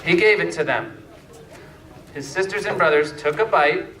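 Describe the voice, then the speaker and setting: A man narrating a scripted fairytale slowly and deliberately, with pauses, as a storyteller. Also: high-pitched, fairly bright tone. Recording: echoey room, distant mic, faint noise.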